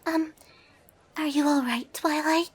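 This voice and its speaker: A woman voicing a shy, gentle young female cartoon pony with a soft, breathy, hesitant voice in a story reading. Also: high voice, fairly even intonation, voice pushed up. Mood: timid concern. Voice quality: soft, breathy, near whisper. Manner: timid, hesitant, halting.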